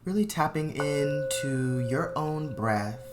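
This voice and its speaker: A meditation guide speaking soothingly and unhurriedly in short phrases with long pauses, leading a guided meditation. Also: medium pitch, very noisy.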